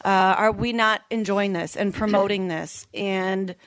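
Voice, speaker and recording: An enthusiastic woman guest talks on a local radio show about her town. She speaks with an energetic, loud delivery. The sound is close and dry. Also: medium pitch, a little slow, dry recording. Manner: enthusiastic, self-described loud voice. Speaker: radio guest, board member. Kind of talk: casual radio interview.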